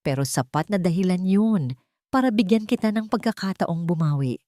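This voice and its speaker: Woman voicing an earnest, mellow young Filipino man in love. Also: fairly low pitch, lively intonation, moderate pace, no echo, clean studio sound, natural voice. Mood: forgiving.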